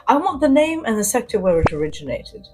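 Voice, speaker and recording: A woman's voice: fairly high pitch, very animated, fast talker, warm tone, slightly rough, faint music behind, pitched above the performer's own voice. A woman playing an arch, teasing, imperious socialite spy in a science fiction audio story. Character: flirtatious, imperious socialite woman spy, sly and self-assured. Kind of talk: first-person sci-fi spy audio fiction.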